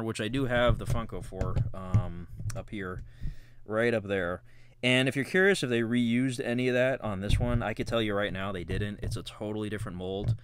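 Man, low voice, dry close sound, casual unboxing vlog, rambling with ums and chuckles. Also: a bit bright, clean tone, dry recording. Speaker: collectible unboxing vlogger.

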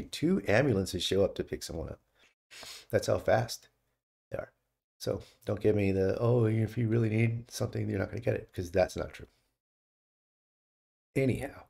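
Middle-aged American male host, dark husky voice, casual rambling monologue, home condenser mic. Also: lowish voice. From United States. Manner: rambling, opinionated, restarts often. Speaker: channel host. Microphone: studio condenser mic on stand.

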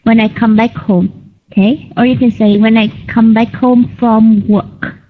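A woman teaching an online English class, correcting students patiently and clearly. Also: on the high side, flat delivery, measured pace. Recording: lo-fi online call audio.

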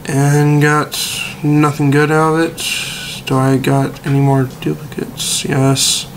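Young male gaming commentator, slightly hoarse, slow and laid-back, faint noise behind. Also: fairly high pitch, monotone, low-quality recording. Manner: laid-back, mildly disappointed, drawn-out. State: losing his voice. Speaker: gaming video creator. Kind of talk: casual gameplay commentary.

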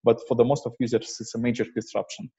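Male meetup presenter, mellow and husky, hesitant informal delivery, lo-fi call audio. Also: mid-range voice, flat delivery, quick pace. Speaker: presenter at an online developer meetup. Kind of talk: informal technical presentation with slides. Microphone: video call microphone. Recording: dull, muffled lo-fi online call.

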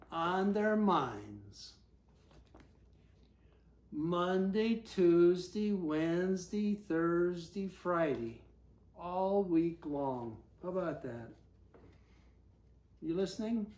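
An older man reads a picture book to a young child in a roomy, echoing space. His delivery is slow and very animated in pitch. He often steps out of the text with gentle questions and asides to the listener. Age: older, about 70s. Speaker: story reader. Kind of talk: animated storybook reading aloud to a small child. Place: living room armchair, indoors. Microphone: camera a few feet away.

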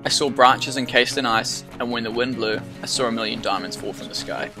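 A man in his twenties with a New Zealand accent, narrating his hike in a calm, reflective voice-over. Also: mid-range voice, a little fast. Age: 20s. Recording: voice-over with music playing underneath.

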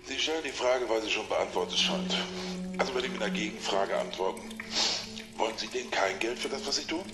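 A man's voice: fairly low pitch, lively intonation, a little fast, natural voice. Man acting smug investment banker, echoey. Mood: defiant, self-assured. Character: smug, combative investment banker, cynical about greed. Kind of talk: German radio drama, mock television interview. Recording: echoey, loud music and water behind.

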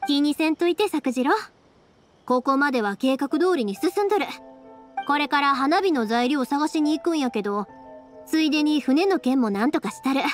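A young Kansai fireworks maker in an anime game, cheerful and caring toward those around her. Her voice is high and very lively in pitch. She speaks briskly and with friendly expression. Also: faint music behind. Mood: earnest. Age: young adult. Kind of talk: Japanese anime game dubbing.

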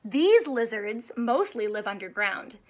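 Woman, high voice, slow delivery, expressive teacher read-aloud, questioning, drawn-out key words. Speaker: teacher reading aloud to young children. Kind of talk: animated read-aloud of a children's book. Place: small indoor room with couch and stuffed toys.

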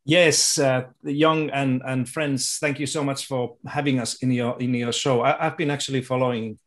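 A middle-aged man from Finland with a Finnish accent, speaking as a guest on a video call, thoughtful and halting with frequent restarts. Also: medium pitch, fairly even intonation. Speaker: guest education researcher. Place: home room with sofa and guitar. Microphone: video call webcam.

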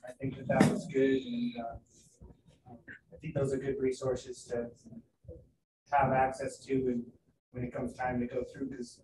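Flat-voiced man asking questions at a meeting. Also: medium pitch, crowd noise. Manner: halting, deliberate questioning. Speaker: school board member. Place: school meeting room. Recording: roomy, distant mic with faint noise.

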